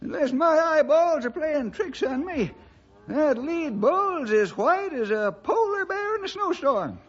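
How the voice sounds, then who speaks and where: An actor playing an excitable, folksy old cowboy sidekick with a high, cracking voice in a radio western. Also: male voice, unhurried. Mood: amazed. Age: older. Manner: folksy, exclaiming, rustic drawl. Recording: dull vintage radio recording.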